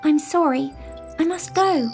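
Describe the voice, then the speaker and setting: A sweet young fairytale princess, acted by a woman, timid and polite. The voice is pitched high and lilts gently over soft music. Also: a little slow. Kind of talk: children's storybook character voice.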